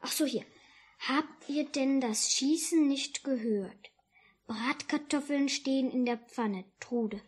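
A woman playing a curious young boy, husky and halting, in a 1950s German radio drama. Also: high voice, exaggerated voice. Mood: curious, puzzled. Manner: halting, questioning. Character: curious young boy helping his father.

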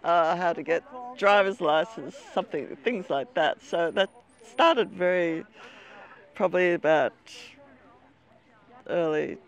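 A woman's voice: lowish voice, slow, poor audio. An older woman, chatting as an interview guest at an outdoor seaside café, recorded on a lapel mic. She rambles a little and laughs often. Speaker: interview guest, expat community organizer. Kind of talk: casual interview chat.

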